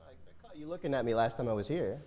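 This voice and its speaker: A man's voice: mid-range voice, expressive, fast, mellow, close to an everyday voice. A man playing a smug, entitled, predatory company chief in a staged play reading, recorded with heavy noise. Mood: pressuring. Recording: very noisy recording with clicks.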